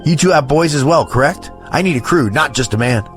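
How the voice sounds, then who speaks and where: An actor playing a contemptuous, bullying landowner in an audio drama, blunt and demanding. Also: male voice, fairly high pitch, animated, brisk, a bit bright, soft background music.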